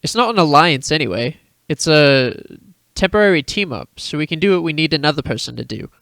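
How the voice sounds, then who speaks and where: Bold, cocky teenage schoolboy played by a man, higher-voiced. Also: animated, a little fast, a bit bright, no room sound. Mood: defensive. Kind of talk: fan fiction audio drama acting.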